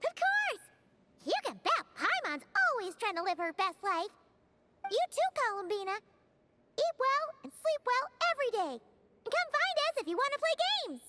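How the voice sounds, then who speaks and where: A woman voicing a cheerful, childlike little fairy companion in a video game, with a squeaky voice and a peppy manner. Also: very animated, normal speed, very noisy. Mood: earnest, cheerful. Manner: peppy, refers to herself in third person. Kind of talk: animated video game character acting. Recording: music behind the voice. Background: game music.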